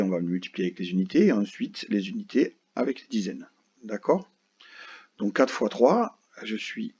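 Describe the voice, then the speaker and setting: Patient man explaining math, husky low voice. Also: darkish tone. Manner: patient, explains step by step, keeps asking "d'accord?". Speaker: primary school teacher. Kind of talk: recorded math lesson for children. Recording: dull lo-fi recording.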